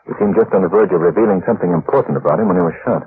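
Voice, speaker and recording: A man playing a composed, sharp-witted amateur sleuth, courteous but commanding, in an old-time radio drama. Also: low-pitched, very fast. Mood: thoughtful, suspicious. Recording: tinny, noisy old radio recording.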